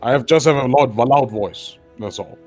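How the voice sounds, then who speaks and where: A man's voice: mid-range voice, moderate pace, over music. A man playing a tabletop game in character, talking in a blunt, indignant way. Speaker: player.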